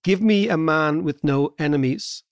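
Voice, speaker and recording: A man's voice: on the high side, a little slow, no echo, clean studio sound. A man hosting a podcast interview, asking long, conversational questions. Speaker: podcast host.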